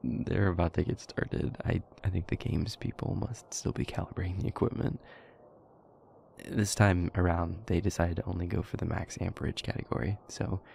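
A man playing an earnest, warm-hearted young friend in an intimate audio roleplay, casual, sweary and a bit hesitant. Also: low-pitched, fairly even intonation, normal speed, gravelly, slight background noise.

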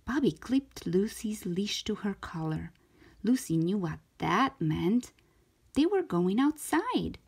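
Expressive woman reading a children's story, lively pitch. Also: creaky, breathy edge. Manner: expressive, deliberate, stretches key words. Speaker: children's storybook reader, show host. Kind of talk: read-aloud storytelling for young children.

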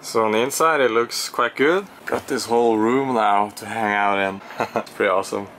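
A man's voice: fairly low pitch, normal speed. A young man talks casually and informally into a handheld camera, thinking aloud as he vlogs aboard a small sailboat. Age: young adult. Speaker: sailing vlogger. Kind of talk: casual vlog commentary. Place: small sailboat cockpit and cabin. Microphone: handheld camera at arm's length. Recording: noisy on-board camera audio, steady hum.

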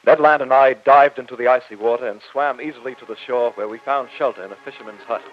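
An actor playing an earnest, anxious captive professor in an old radio drama, with a dramatic, urgent delivery. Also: male voice, medium pitch, fast, lightly acted. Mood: haunted, urgent. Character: earnest, anxious male professor, a captive narrating his escape. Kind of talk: 1940s radio drama acting. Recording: dull old radio recording, music behind.

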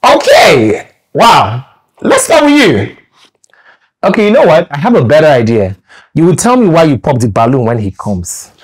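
A man hosting a dating game show in a playful, animated way, joking and hyping up the contestants. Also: a little high, normal speed, slightly rough.

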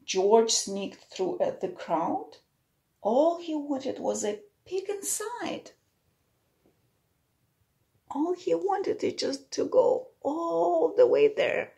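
A woman's voice: high-pitched, expressive, very slow, gravelly, mellow. A middle-aged woman reading a picture book aloud to young children, explaining it simply and putting questions to the listeners. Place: small plain room indoors.

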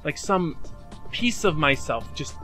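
A man's voice: on the high side, sing-song, slow, sharp tone, exaggerated voice. A man playing an anguished, self-doubting young man in a fan audio drama, rambling and self-deprecating as he confides in a friend. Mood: uneasy, subdued. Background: music.

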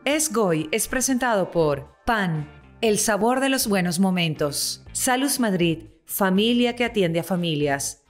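A woman reading a scripted voice-over introduction in a measured, deliberate way. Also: medium pitch, lively intonation, faint music behind. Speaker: voice-over narrator. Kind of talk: scripted promo narration.

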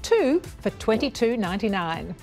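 A woman's voice: a little high, big pitch swings, moderate pace. A young woman co-hosting a scripted pharmacy advertisement, asking eager questions in an enthusiastic way. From Australia. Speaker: pharmacy staff co-host. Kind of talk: scripted retail ad presentation. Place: pharmacy store aisle, indoors. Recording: soft music underneath.

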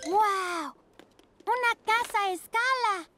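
A woman voicing a cheerful, earnest kindergarten pug puppy girl in a children's cartoon, with a cutesy, expressive delivery. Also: very high voice, slow, background noise. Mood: delighted.

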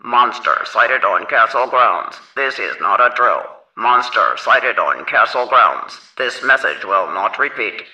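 A man playing a deadpan castle security guard making monster alerts over a filtered announcement system in an adventure game. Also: mid-range voice, heavily acted. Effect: PA or radio filter. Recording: dull, echoing, distant radio-like announcement.

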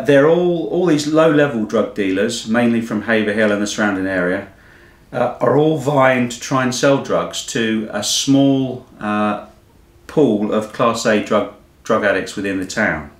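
A British man in his forties reading a local police news update to camera in a measured, formal, matter-of-fact way. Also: lowish voice. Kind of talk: semi-scripted police news bulletin read to camera. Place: indoors, small plain room on a sofa. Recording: echoey small room.

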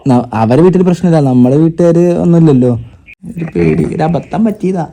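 A man's voice: a little high, fairly quick, dark tone. A young man with a Kerala Malayalam accent, chatting casually and pleading hesitantly, as in a prank phone call. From Kerala, India. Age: young adult. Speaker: prank caller in a comedy channel. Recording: faint background noise.

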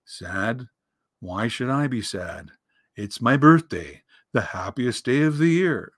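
A man's voice: mid-range voice, takes it slowly, no room sound, clean studio sound. A man voicing a gloomy, self-pitying old grey donkey from a storybook, with a glum, resigned delivery. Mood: gloomy, sarcastic. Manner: glum, sighing, flat delivery.

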